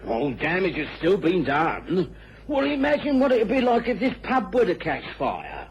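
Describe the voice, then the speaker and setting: An actor playing a gloomy, querulous old village innkeeper in a radio drama. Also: male voice, sits high, wildly swooping pitch, normal speed, heavily acted. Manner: querulous, fretful. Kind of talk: British radio drama acting. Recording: dull old radio recording.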